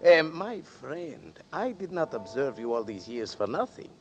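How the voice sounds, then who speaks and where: A sly gravelly little schemer. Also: male voice, mid-range voice, sing-song, measured pace, soft background music. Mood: sly, amused. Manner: florid, mocking, formal phrasing. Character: small sly male schemer, oily and amused. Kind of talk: 1940s radio acting. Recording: dull old radio broadcast.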